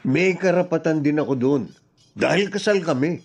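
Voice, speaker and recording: An actor playing a brazen, unrepentant middle-aged husband in a Filipino radio drama, breezy and self-justifying. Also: male voice, a little high, normal speed, breathy edge. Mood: smug, defensive.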